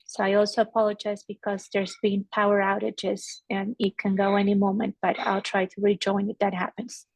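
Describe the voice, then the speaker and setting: A woman's voice: medium pitch, little pitch movement, moderate pace, husky, dry recording, rough recording. A woman introducing herself casually as a volunteer on a group video call. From Ecuador.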